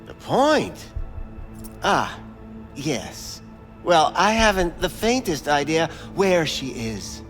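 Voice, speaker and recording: An actor voicing a smug, evasive back-alley implant doctor in a video game, with florid, theatrical phrasing. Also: male voice, a little high, sing-song, slow, a bit bright, in a large hall, faint music behind, full character voice. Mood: sly, evasive. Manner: florid, theatrical wording, stammers when pressed.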